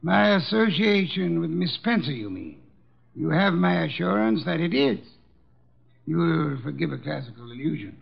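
An actor playing a heavy, imperious armchair detective in a 1950s radio drama, with formal, precise diction and supreme self-assurance. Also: male voice, fairly high pitch, very animated, moderate pace, gravelly, husky, full character voice. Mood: haughty, precise. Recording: dull lo-fi old radio recording.

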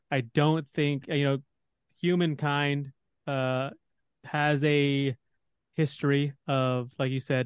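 A man's voice: mid-range voice, clean tone, dry recording. A man from Texas hosting a podcast roundtable, talking in a rambling way with many fillers as he leads the discussion. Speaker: podcast host.

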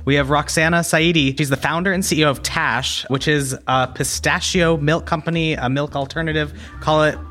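A man hosting a business podcast, introducing and questioning his guest in a relaxed, friendly manner. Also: on the high side, moderate pace, hardly any pauses. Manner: friendly, relaxed, some fillers. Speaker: podcast host, editor. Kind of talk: podcast interview.

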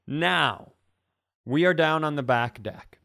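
A man hosting a comedy podcast, telling a story in a casual, comic way with an animated, stop-and-start delivery. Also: a little high, slow, vocal fry, dry recording, clean studio sound.

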